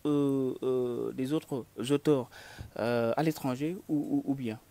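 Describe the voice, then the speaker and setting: A man's voice: mid-range voice, fairly even intonation, slow, creaky, dark tone. A man interviewing an author on television, asking his questions hesitantly, with many fillers and repeated words. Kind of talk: studio interview.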